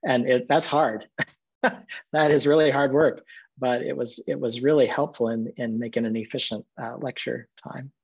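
A man's voice: medium pitch, fairly even intonation, moderate pace, gravelly, slightly rough. A middle-aged man, a seminary president, telling stories in an earnest, reflective way during a video-call interview from his office. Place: office with bookshelves. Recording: muffled video-call audio.

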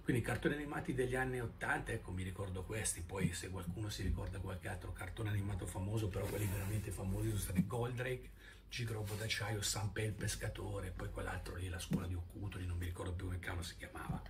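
Low-voiced middle-aged man, noisy recording. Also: steady tone, fast. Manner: hesitant, searching for words, repeating himself. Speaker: vlogger. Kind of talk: casual rambling reminiscence to camera. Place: indoor room at home. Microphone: camera held at arm's length. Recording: noisy amateur phone-style video recording.

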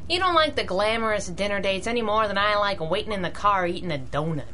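A woman's voice: mid-range voice, expressive, fast talker, sharp tone, slight background noise. A woman playing a wisecracking young sidekick and driver, teasing and wry, in a 1930s-style radio drama.

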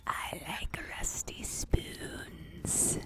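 A woman putting on a creepy whispering weirdo voice during a game voice chat. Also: extremely slow. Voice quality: breathy whisper. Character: creepy whispering weirdo who loves rusty spoons. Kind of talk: joking voice chat bit.

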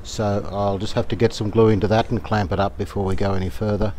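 An older man calmly talking through a restoration job outdoors, thinking aloud as he works. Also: lowish voice, slight background noise. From Australia. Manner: thinking aloud, calm and unhurried, plain-spoken with fillers. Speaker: radio restorer, channel host. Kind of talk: casual hands-on workshop narration. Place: outdoor verandah in the garden.

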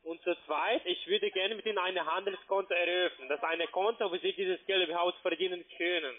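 A high-voiced man speaks with a foreign, non-native accent. He sells investments over a thin, tinny phone connection, stumbling politely through broken phrasing in a formal sales pitch. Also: fairly even intonation, fairly quick. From Slovakia. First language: not German. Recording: narrow-band, tinny phone line.

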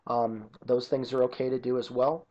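A man narrating an informal software tutorial as an instructor, explaining each step methodically. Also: medium pitch, level tone, normal speed. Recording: dull lo-fi computer screen-recording audio.

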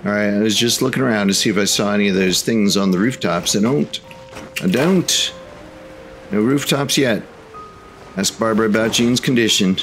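A man's voice: lowish voice, normal speed, fairly bright tone, faint music behind. Laid-back man doing game commentary. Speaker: gaming host. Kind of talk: casual let's play commentary.

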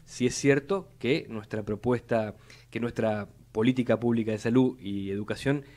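A young man with a Buenos Aires accent talks policy at a studio microphone. His speech is formal and measured, with frequent restarts as he builds long sentences. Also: medium pitch, darkish tone. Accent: Buenos Aires Spanish. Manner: formal, repeats words while building long arguments. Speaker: city legislator, guest. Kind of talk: measured policy talk on live radio. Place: radio studio. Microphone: studio mic on boom arm.

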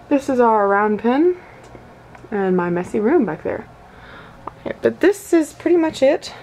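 A teenage girl rambles happily through a home video tour, gushing and filling gaps with "like" and "um". Her voice sits at a mid pitch, picked up by a handheld camera mic in a carpeted bedroom, with a faint hiss of noise underneath. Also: darkish tone, low-quality recording. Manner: chatty, gushing, rambling with fillers. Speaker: vlogger. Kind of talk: casual toy collection tour.

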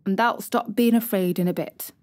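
A woman's voice: mid-range voice, moderate pace, a little mellow, dry recording, close to an everyday voice. A woman voicing a cheerful country boy with a Yorkshire accent who speaks in a stout, reassuring way.

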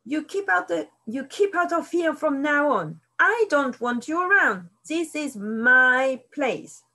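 A woman voicing a greedy, shrieking male rat in a storybook, scolding in a shrill, screeching voice. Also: animated, slow pace, pushed and bright, heavily acted.

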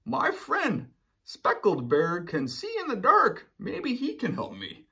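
A man voicing a friendly, wistful and excitable polar bear from a children's storybook. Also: sits high, takes it slowly, vocal fry, voice pushed up, full character voice. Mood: eager. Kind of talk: children's storybook read-aloud.

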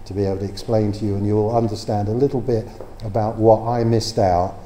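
A man's voice: fairly low pitch, fairly even intonation, a little fast, mellow. An older man introducing a talk in a lecture room, speaking plainly and personally with some fillers and restarts. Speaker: transplant patient introducing the speaker. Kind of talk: spoken introduction at a public talk. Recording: echoey lecture room with faint noise.

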